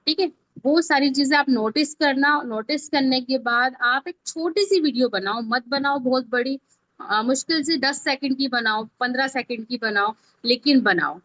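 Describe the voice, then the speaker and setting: A woman's voice: high voice, normal speed, fairly bright tone, no echo. A woman with an Indian accent teaching an informal step-by-step online tutorial in a conversational way, often checking that learners follow. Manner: conversational, repeatedly checks understanding. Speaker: video editing teacher. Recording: dull, lo-fi online class audio.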